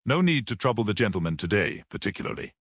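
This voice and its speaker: A man's voice: on the low side, big pitch swings, quick pace, fairly bright tone, dry recording, clean studio sound, full character voice. A man voicing an amiable, obstinate little old man in a storybook, talking thoughtfully. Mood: reluctant. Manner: thoughtful, mildly stubborn.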